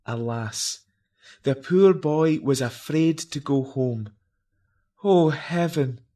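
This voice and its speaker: A man's voice: mid-range voice, slow, dark tone. A man giving a theatrical, declamatory recital of a narrative poem with melodramatic delivery. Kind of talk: theatrical dramatic verse recital.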